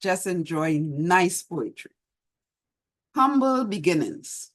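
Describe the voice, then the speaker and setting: A middle-aged woman with a Trinidadian accent, hosting an online show and gently reminiscing as she reads her poem, recorded over a video call from a small room at home. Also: fairly low pitch, very animated, slow. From Trinidad and Tobago. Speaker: host. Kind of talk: reflective talk and poetry reading. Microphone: video call webcam.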